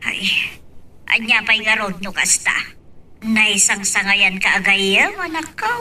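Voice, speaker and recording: A woman's voice: mid-range voice, unhurried, bright, forceful tone, distant mic. A woman with an Ilocano accent playing a concerned, sympathetic mother in an audio love drama, heard from a little way off. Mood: concerned pity. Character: concerned, sympathetic mother consoling her daughter.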